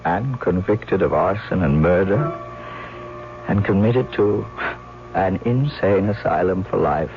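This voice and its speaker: An actor playing an embittered, haunted old painter, narrating gravely and deliberately in a radio mystery drama. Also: male voice, sits low, animated, heavily acted. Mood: cold, vengeful. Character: embittered, haunted old painter telling his tragic past. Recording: muffled vintage radio recording, loud music.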